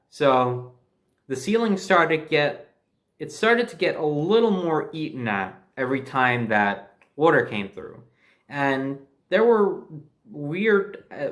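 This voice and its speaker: A man's voice: fairly high pitch, a bit bright. An American man telling a personal anecdote in a casual, conversational and emphatic way, as a storyteller. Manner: conversational, emphatic, mildly profane.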